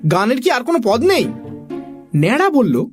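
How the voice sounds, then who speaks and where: A woman narrating a comic children's story in an expressive storytelling style. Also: a little high, normal speed, music in the background.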